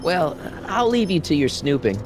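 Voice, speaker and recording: High-pitched, animated American teenage boy. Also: normal speed, background noise. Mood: awkward, flippant. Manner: incredulous, hesitant. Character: exasperated, flippant teenage son, an everyman adventurer. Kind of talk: video game dialogue.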